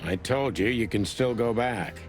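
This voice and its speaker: A man's voice: medium pitch, moderate pace, lightly acted. A man playing a cynical, world-weary old rooster police detective in a noir game, dry and brooding. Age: older. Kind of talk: film noir game voice acting. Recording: music behind the voice.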